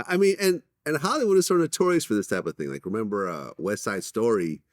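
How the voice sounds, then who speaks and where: A man's voice: fairly low pitch, lively intonation, fast talker, no room sound, clean studio sound. An American man working as an interviewer, asking questions and commenting in a casual, conversational style.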